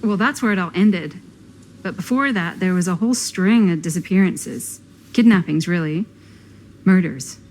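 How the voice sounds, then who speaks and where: An actress playing a cool, dry young American woman in a video game, understated and deadpan as someone in the know. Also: on the low side, moderate pace, slight background noise, lightly acted, rough recording. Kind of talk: naturalistic video game acting.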